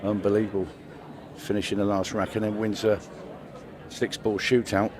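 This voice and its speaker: A man giving brisk play-by-play commentary on a pool match, with dry asides. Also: fairly low pitch, little pitch movement, noisy background. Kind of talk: live sports commentary.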